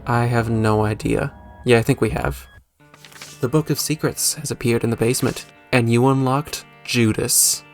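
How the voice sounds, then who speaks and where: A man's voice: mid-range voice, steady tone, normal speed, soft background music. A man hosting a gaming video with casual, joking, self-deprecating commentary and some mild swearing.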